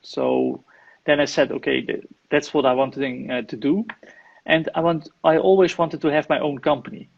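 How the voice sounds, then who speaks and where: A man's voice: on the high side, expressive, normal speed, creaky, husky, dull audio. A Dutch man with a Dutch accent, speaking English as a guest in a live online interview, hesitant with many fillers and restarts, over a video call. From Netherlands. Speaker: guest, IT manager and property investor.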